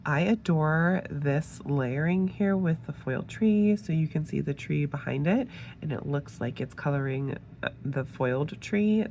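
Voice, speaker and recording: A woman getting over a head cold, sounding a little nasal, casually showing off a sticker kit with relaxed, gushing asides. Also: low-pitched, a little slow, slight background noise. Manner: relaxed, gushing, with fillers and soft trailing asides. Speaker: planner sticker reviewer. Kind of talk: casual show-and-tell narration.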